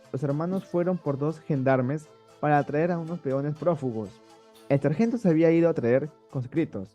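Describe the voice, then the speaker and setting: A man's voice: on the high side, dark tone. A man narrating a scripted story summary.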